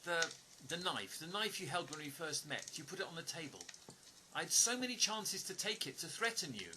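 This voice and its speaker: A man playing an earnest stranger pleading for trust in an audio drama, speaking haltingly and repeating words. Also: on the high side, big pitch swings, noisy background. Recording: music under the voice. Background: music.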